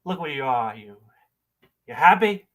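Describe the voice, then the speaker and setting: A man's voice: a little high, expressive, a little slow, a bit bright. A man performing a dramatic monologue as a bitter man berating someone for wasted dreams, accusing and emphatic. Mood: disappointed, accusing.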